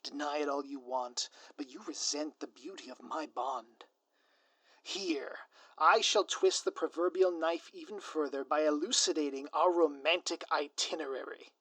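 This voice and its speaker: A scheming male supervillain played by a man in an audio roleplay, speaking in a fairly high voice. He is pompous and melodramatic, relishing florid phrases with theatrical grandeur. The sound is very dry, with no room around it. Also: sharp tone, no room sound. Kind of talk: scripted audio roleplay monologue.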